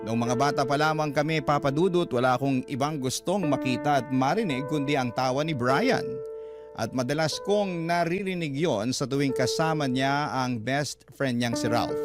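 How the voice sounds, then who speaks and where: A man's voice: mid-range voice, natural voice. A man playing a reflective older brother, narrating childhood memories wistfully in a Filipino radio drama. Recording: loud musical score under narration.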